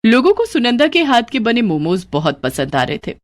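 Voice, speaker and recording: A woman narrating a children's cartoon moral story in steady, simple scripted storytelling. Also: fairly high pitch, moderate pace.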